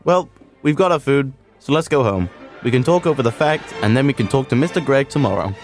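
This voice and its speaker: A man's voice: mid-range voice, expressive, brisk, soft background music, close to an everyday voice. A man as a sensible doctor sidekick. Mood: earnest. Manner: sensible, matter-of-fact. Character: earnest, clever male doctor, detective's sidekick. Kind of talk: comedic radio drama acting.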